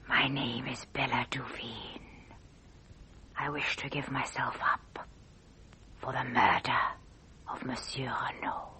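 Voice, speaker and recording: An actress playing a frail but resolute young woman in a radio drama, speaking in a breathy near-whisper. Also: extremely low pitch, steady tone, takes it slowly, long pauses, bright, forceful tone, a little hiss or hum, full character voice. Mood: solemn, hushed.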